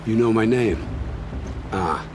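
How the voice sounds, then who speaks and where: A guarded, weary scout who brushes people off in a gruff, low voice. He dodges and keeps things short. Steady game ambience hums underneath. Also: takes it slowly. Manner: gruff, dodging and dismissive. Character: guarded, evasive male scout, weary and curt. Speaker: outlander scout. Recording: game audio with ambient noise.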